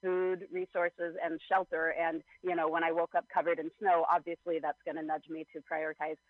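A man answering interview questions in a thoughtful, measured way, with plenty of fillers. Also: a little high, completely flat delivery, no echo. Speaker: guest. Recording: slightly dull, lo-fi sound.

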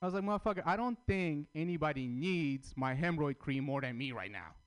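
A man's voice: on the high side, animated, fairly quick. A man from Armenia who grew up in Russia, doing a blunt, profane stand-up set at an open mic. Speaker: stand-up comedian. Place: comedy open mic venue.

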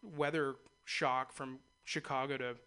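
Bright-voiced male radio host. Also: mid-range voice, fairly even intonation, unhurried, clear, resonant tone. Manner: loose, rambling, laughs easily. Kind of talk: casual interview chat.

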